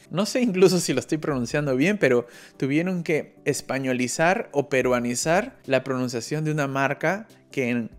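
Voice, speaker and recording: A man from Peru talking through personal stories in a casual, reflective monologue as host of a language-learning channel. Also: mid-range voice. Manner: reflective, storytelling with personal anecdotes. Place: living in Germany.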